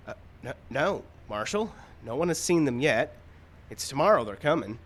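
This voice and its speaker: A man's voice: medium pitch, very animated, normal speed. An actor playing a jittery, self-important townsman in a live old time radio western. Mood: flustered.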